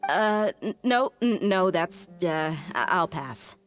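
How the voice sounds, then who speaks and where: A woman's voice: lowish voice, animated, takes it slowly, over loud music. A woman playing a confident, plain-spoken mercenary ally in a video game, casual and matter-of-fact. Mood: flustered.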